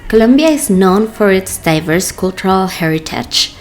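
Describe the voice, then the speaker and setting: A woman hosting a podcast, reading her introductions and questions in a measured, scripted way. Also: medium pitch, animated. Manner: measured, read-aloud introductions. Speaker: podcast host.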